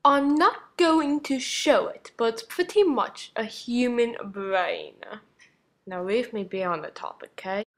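A woman acts out a gloomy puppet man from a horror cartoon. He talks slowly and wearily, sulking through his lines with an irritable edge. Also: fairly high pitch, fairly bright tone. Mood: guarded.

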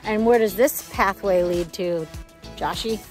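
A woman's voice: mid-range voice, measured pace, faint music behind. A middle-aged woman chatting casually to camera as a vlog host, outdoors on a handheld phone. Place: outdoors in a pine clearing. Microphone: handheld phone at arm's length.

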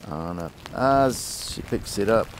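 A man's voice: on the low side, lively intonation, slow pace, a little hiss or hum, poor audio. A man working with a horse outdoors, explaining each step as he goes. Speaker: horse trainer. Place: outdoors in a paddock.

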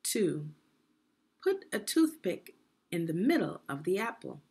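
A woman reading aloud to children as a teacher would, in a guided lesson style with deliberate phrasing. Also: lowish voice, sing-song, darkish tone, husky. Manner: deliberate teacherly phrasing, asks the listener questions. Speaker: education consultant reading aloud.

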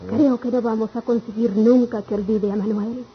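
A woman's voice: a little high, fairly even intonation, normal speed, natural voice. A woman acting a weary, worried, compassionate mother figure in a radio melodrama. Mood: weary, pitying. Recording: dull lo-fi radio, faint noise.

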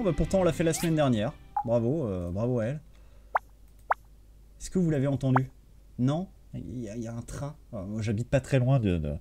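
A man's voice: on the high side, darkish tone. A man in his thirties casually commenting on a farming game to viewers at home, chatty and swearing offhandedly. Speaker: gaming streamer. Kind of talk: casual let's-play commentary. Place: home room at desk. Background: faint game music.

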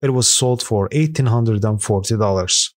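A man reading a scripted voice-over that counts down rare coins sold at auction, in a steady, even manner with an occasional slip. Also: on the low side, moderate pace. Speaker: narrator of a coin auction countdown. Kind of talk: scripted voice-over narration read aloud.

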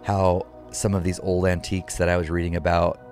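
Low, flat-voiced soft-spoken man. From United States. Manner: soft-spoken, reflective, unhurried. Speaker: furniture maker. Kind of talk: documentary interview. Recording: music bed underneath.

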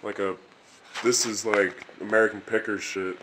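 A man's voice: fairly low pitch, little pitch movement, moderate pace, fairly bright tone. A man casually chatting and swearing offhand while filming an abandoned building on a handheld camera. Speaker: urban explorer filming. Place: abandoned building, indoors and outdoors. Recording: noisy camera audio.

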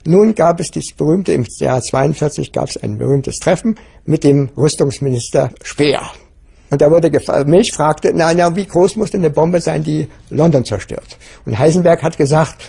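Older male interviewee, gravelly and mellow, rambling spontaneous recollection. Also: on the high side, normal speed. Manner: rambling, self-correcting, acts out quotes.

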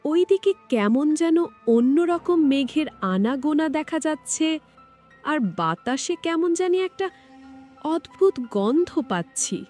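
A woman plays a young fairy guard in a cartoon, high-pitched and animated. She sounds alert and earnest, with music underneath. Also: measured pace. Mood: uneasy. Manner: earnest, resolute. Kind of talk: animated fairy-tale acting.

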